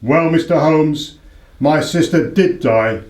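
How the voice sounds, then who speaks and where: A man's voice: a little high, slow pace, darkish tone. Roomy-sounding, proud baronet played by a man. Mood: desperate, defensive. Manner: formal, defensive, imperious. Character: proud, desperate English baronet, indebted horse owner. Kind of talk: radio play acting.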